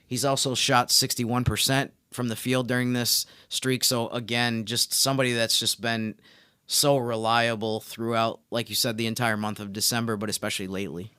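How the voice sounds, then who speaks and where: Smooth-voiced male sports podcast co-host. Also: mid-range voice, level tone, moderate pace, sharp tone, dry recording, clean studio sound. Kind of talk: conversational sports analysis.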